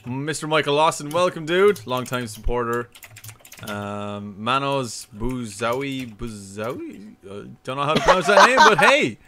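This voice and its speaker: A man's voice: mid-range voice, animated, unhurried, a crowd in the background. A man hosting a casual live-streamed podcast, upbeat, greeting viewers and reading out chat names. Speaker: podcast host.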